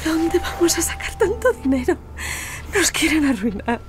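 A woman's voice: high-pitched, big pitch swings, normal speed, heavy background noise. An actress playing a distraught, tearful mother, wailing in anguish in an audio drama. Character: distraught mother of a kidnapped little girl. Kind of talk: Spanish audio drama acting.

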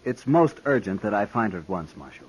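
An actor playing a prim, impatient gentleman from the city, formal and haughty, in a 1950s radio western. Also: fairly low pitch, big pitch swings, fairly quick, husky, poor audio. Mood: impatient, indignant. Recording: 1950s radio drama recording.

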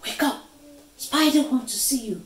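A woman's voice: high-pitched, normal speed, voice pushed up, close to an everyday voice. Woman as scheming storybook deer, falsely helpful, urgent, coaxing, slightly raised voice, roomy echo.

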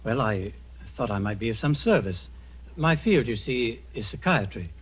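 An actor playing a polite, calm male psychiatrist with a courteous, measured delivery in 1940s radio drama. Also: medium pitch, slightly rough. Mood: politely helpful. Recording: muffled, noisy 1940s radio recording.

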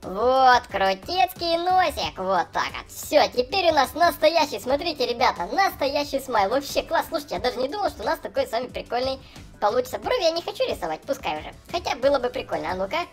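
A man's voice: very high voice, very animated, a little fast. A man voicing a cheerful young clown for small children, gushing and chatty as he narrates gameplay. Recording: voice over background music. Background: music.

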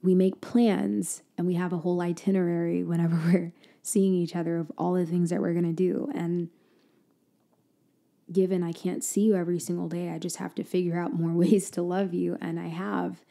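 A woman doing a girlfriend roleplay for ASMR, speaking softly and intimately, slipping in and out of a whisper and often trailing off mid-thought. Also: level tone, moderate pace, creaky. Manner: soft, intimate, drifting between low voice and whisper; hesitant, trailing off and restarting.

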